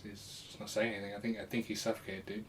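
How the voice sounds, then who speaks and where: A man's voice: fairly low pitch, level tone, quick pace, slight background noise. A man co-hosting a podcast as a matey, teasing quizmaster in casual chat. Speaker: podcast co-host.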